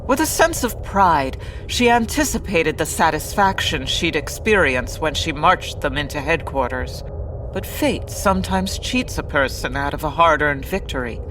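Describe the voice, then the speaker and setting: A woman's voice: low voice, lively intonation, moderate pace, background noise. A woman playing an earnest narrator of an old-time radio adventure serial, reading scripted dramatic narration.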